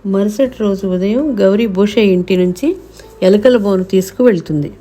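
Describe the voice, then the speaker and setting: A woman's voice: mid-range voice, moderate pace, warm tone, faint music behind. A woman narrating a scripted moral story for children.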